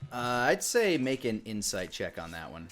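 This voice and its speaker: A man's voice: lowish voice, sing-song, moderate pace, a bit bright, soft background music. Man narrating as a game master. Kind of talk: narrating a live tabletop game.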